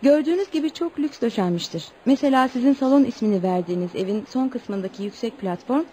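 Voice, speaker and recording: A woman's voice: a little high, animated, fast, mellow, lightly acted. An actress in a Turkish radio play voicing a young Eskimo girl, formal and polite, proud and eager in a naive way. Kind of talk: Turkish radio theatre acting. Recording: noisy radio play recording.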